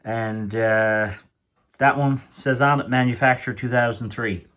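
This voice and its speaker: A man's voice: mid-range voice, fairly even intonation, a little slow, fairly bright tone. A man casually showing and comparing old-style radios at home, speaking hesitantly with many fillers and restarts, from behind the camera. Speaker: radio collector. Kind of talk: casual show-and-tell commentary. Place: indoors, home room with radios on floor.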